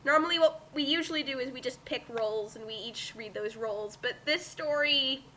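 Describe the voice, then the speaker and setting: A woman's voice: high-pitched, a little fast, pushed and bright, slight background noise, rough recording. A woman co-hosting a dramatic fanfic reading with casual chat, theatrical when she reads. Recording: music behind the voice. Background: music.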